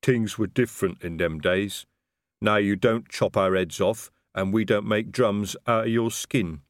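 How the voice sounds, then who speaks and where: A big, blunt troll played by a male audiobook reader speaks slowly and deliberately. His grammar is rough and his th turns into d or t, with a dangerous cleverness underneath. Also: lowish voice, no echo, studio quality, natural voice. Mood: brittle, guarded.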